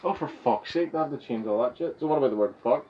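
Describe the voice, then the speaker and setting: A man's voice: medium pitch, quick pace, highs cut off. A man bantering casually and bluntly, with plenty of swearing, as a guest on a home podcast. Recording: loud noise and clicks, off-mic home recording.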